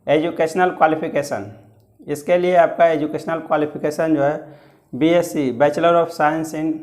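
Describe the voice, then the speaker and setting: A man's voice: on the high side, darkish tone. A man with an Indian accent explaining exam guidance as a coaching teacher, repeating and rephrasing his points in two languages. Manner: repeats and rephrases points, switching between Hindi and English.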